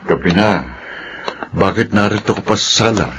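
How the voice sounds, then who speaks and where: A Filipino man playing a weary, tender middle-aged father in a radio drama, subdued and gentle. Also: fairly low pitch, moderate pace, close to an everyday voice. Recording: muffled radio drama, faint noise.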